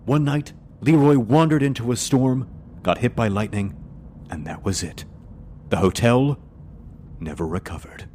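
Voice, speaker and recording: A man's voice: mid-range voice, unhurried, long pauses, darkish tone, a little hiss or hum, close to an everyday voice. A man playing a showy, rambling driver and guide in a comedic audio drama. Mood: solemn storytelling.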